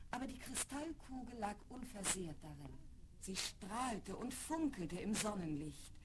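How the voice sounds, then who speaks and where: Woman storyteller on a very noisy record. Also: mid-range voice. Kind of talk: scripted fairy-tale narration. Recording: very noisy old vinyl record rip.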